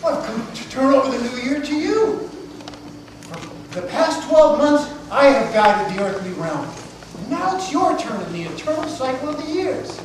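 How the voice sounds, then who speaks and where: A woman's voice: sits high, expressive, normal speed. A woman playing a boisterous, boastful tiger in a live amateur stage play, with a theatrical, exuberant manner. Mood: playful, hearty. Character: boisterous, boastful, lucky tiger of Chinese zodiac. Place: live performance in a roomy indoor space. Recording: echoey noisy hall from far off.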